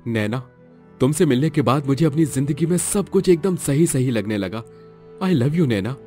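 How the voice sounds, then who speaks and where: A man's voice: medium pitch, expressive, normal speed, dark tone, faint music behind, close to an everyday voice. Man acting an earnest young husband. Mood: tender. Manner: earnest, reproachful, dramatic. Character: exasperated young husband in a family moral story.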